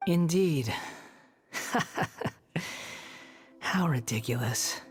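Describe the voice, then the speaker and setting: A man's voice: on the high side, expressive, unhurried. An actor voicing a bitter, proud young male former villain in a video game, with a dry, aloof manner. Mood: scornful, guarded. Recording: background music under voice.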